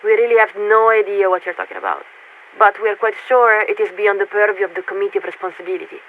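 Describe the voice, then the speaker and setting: Cold official warship officer over tinny radio. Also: female voice, mid-range voice, animated. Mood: detached, dismissive. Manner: formal, clipped, bureaucratic, measured. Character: cold, formal female warship officer speaking for a committee. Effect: radio transmission filter. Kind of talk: scripted video game voice acting. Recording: tinny, narrow-band radio with static.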